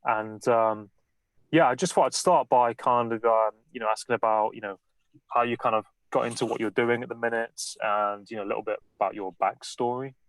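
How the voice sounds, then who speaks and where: A man's voice: medium pitch, fairly even intonation, brisk, no echo, rough recording. Hesitant male podcast host. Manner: hesitant, lots of fillers. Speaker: podcast host and life coach. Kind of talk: casual interview chat.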